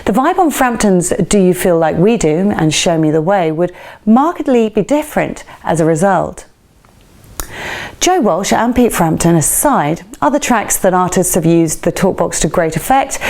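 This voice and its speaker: A woman presenter reading a scripted radio-style feature in a measured, presenterly way. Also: mid-range voice, darkish tone. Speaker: presenter of a rock music feature.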